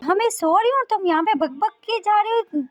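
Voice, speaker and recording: A woman's voice: sits high, quick pace, lo-fi sound, pitched above the performer's own voice, close to an everyday voice. A woman voicing an angry, rude young female roommate in a story, scolding indignantly and repeating herself. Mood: furious, scolding. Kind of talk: amateur acted dialogue in a narrated story.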